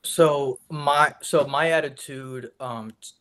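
A man's voice: medium pitch, slow pace, fairly bright tone. A young man with a stutter, giving a college class presentation over a video call. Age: young adult. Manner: stutters with sound repetitions and blocks. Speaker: student presenter.

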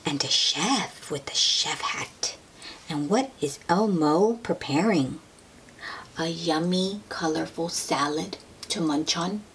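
Noisy background, woman, slow delivery, gentle, expressive, children's read-aloud, questions to little listeners. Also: deep voice. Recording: steady noise behind the voice.

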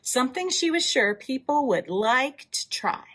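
A woman hosting a library storytime, reading a picture book aloud in an animated way, with emphatic drawn-out words and asides to young listeners. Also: high-pitched. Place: indoors, in front of a wall with floral decals.

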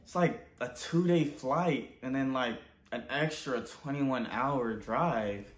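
Young American man, echoey room, deadpan. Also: medium pitch, slow pace. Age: young adult. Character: naive, awkward male student. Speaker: host of a parody channel. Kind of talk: casual comedy roleplay. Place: indoors in a living room. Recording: roomy, echoey indoor sound.

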